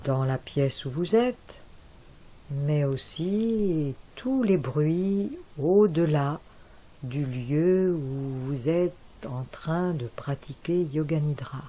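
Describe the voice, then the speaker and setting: Very slow, calm woman guiding relaxation. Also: deep voice, poor audio. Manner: slow, soft, calm guiding voice with long pauses. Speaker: yoga nidra teacher. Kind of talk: guided relaxation.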